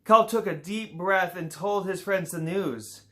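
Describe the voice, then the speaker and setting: A man reading a children's picture book aloud in a relaxed way, with small slips and playful asides. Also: high-pitched, unhurried. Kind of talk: read-aloud children's story.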